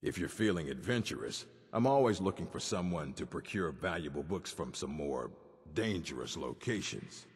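Very deep, husky old orc. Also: male voice, expressive, exaggerated voice. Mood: dry, measured. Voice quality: deep, gravelly rasp. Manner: measured, curt, dry. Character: gruff old male orc librarian, stern and learned. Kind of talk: video game dialogue acting. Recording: long reverb of a stone hall.

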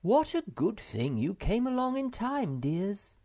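A reader voicing Moomin Mamma, a gentle troll mother who is easily flurried. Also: medium pitch, sing-song, unhurried, voice pushed up. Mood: fussing.

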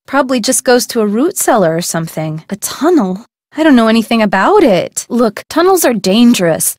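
A woman's voice: a little high, sing-song, brisk, darkish tone, dry recording, clean studio sound. An actress playing a friendly young woman who runs a harbor shop in a video game, talking in a breezy way. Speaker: game character.

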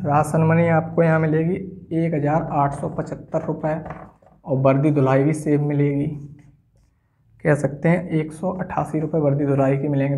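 Young man teaching, mellow higher voice. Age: 20s. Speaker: exam-coaching teacher. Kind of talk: explanatory whiteboard lesson. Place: small indoor room at a whiteboard.

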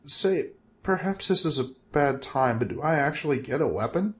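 A man's voice: a little high, lively intonation, normal speed, pitched above the performer's own voice, natural voice. A man voicing a dry, sardonic, grumbling everyman hero.

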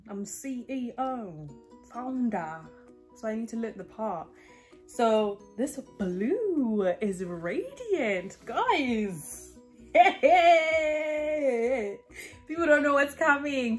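Brief: young woman, fashion haul vlogger, bubbly and chatty, slightly high voice, faint music behind. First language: not English. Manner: animated, chatty, laughs often, addresses viewers as guys. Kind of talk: casual haul try-on vlog. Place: home living room, indoors.

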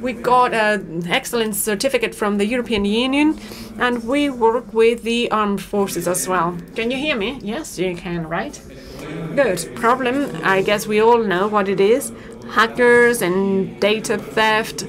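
A woman interpreting a startup pitch in real time, talking fast and steadily with occasional fillers. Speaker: simultaneous interpreter. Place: conference auditorium. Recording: auditorium feed with crowd and noise.

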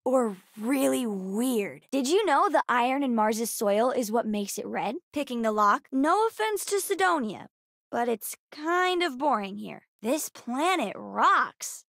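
A young girl from a space adventure game, her voice high and small. She is bookish and endlessly curious, chatting earnestly like a child among grown-ups. The sound is close and dry, with no room around it. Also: lively intonation, measured pace, gravelly, fairly bright tone, no echo, heavily acted. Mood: chatty, curious. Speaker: video game voice actress. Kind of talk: video game character dialogue.

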